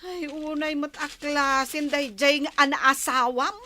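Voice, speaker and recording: Woman acting a high-voiced, gossipy, disapproving older sister. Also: fairly even intonation, measured pace, bright, forceful tone. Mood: concerned, scolding. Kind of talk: radio comedy drama acting.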